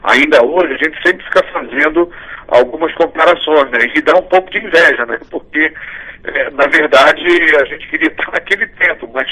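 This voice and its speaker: Bright, raspy Brazilian man being interviewed. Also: fairly high pitch, low-quality recording. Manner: halting, with frequent tag questions. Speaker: radio coordinator interviewee. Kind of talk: informal interview talk.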